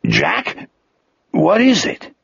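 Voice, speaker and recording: A man's voice: fairly high pitch, huge pitch swings, drawn-out words, gravelly, fairly bright tone, breathy edge, no echo, lo-fi sound, exaggerated voice. A man voicing a big, simple, devoted wolfish creature in an audiobook story, with childlike, broken speech. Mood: distressed, concerned. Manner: simple broken speech, repeats own name.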